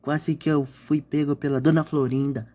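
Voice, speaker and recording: A man narrating an episode recap for a channel, with repetitive, halting phrasing. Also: on the high side, flat delivery, warm tone.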